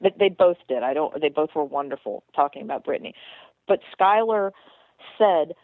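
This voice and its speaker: A woman's voice: low voice, lively intonation, normal speed, no room sound. A woman co-hosting a radio show, chatting earnestly about basketball with many restarts and repeated words. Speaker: radio co-host, basketball commentator. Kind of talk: casual radio chat. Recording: dry tinny phone line.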